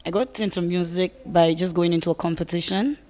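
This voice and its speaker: A woman with a Saint Lucian accent, a calypso singer telling her story in a relaxed, conversational way. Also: fairly low pitch, fairly quick. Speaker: calypsonian guest. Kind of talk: interview answers.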